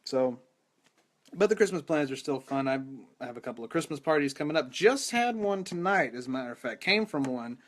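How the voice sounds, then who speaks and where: A young man hosting a live podcast stream, his voice hoarse and rattly, chatting casually and rambling with lots of restarts and self-deprecating asides. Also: a little high, expressive, fairly quick, fairly bright tone. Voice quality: rattly, strained voice. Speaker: podcast host. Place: home room with mic. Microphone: studio microphone.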